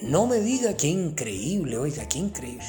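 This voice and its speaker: A woman's voice: sits low, big pitch swings, moderate pace, dark tone, faint music behind. A woman hosting a mock talk-show interview, mock-formal and full of hesitant fillers. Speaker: host.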